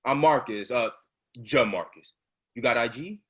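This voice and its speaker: Fervent male street activist played by a stage actor, husky, mid-pitched, oratorical and emphatic. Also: a little slow, a bit bright. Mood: flirty, casual. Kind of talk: readers theatre stage monologue.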